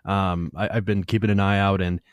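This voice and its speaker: A man in his late thirties with a lowish voice chats with a guest. He stumbles and repeats words as he goes, friendly and easygoing. The sound is dry and close. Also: level tone, a little fast, smooth, dry recording. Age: 30s. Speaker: youtube channel host, interviewer. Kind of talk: casual interview chat.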